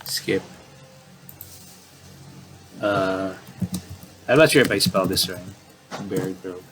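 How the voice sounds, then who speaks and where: A man's voice: sits low, slow, background noise. A man commentating on a game playthrough, casually reading story text aloud and often stumbling and rereading phrases.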